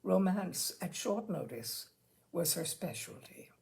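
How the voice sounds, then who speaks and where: A woman narrating a literary short story with dramatic, deliberate phrasing. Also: fairly low pitch, lively intonation, gravelly, a little mellow. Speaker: story reader. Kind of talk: expressive scripted literary narration.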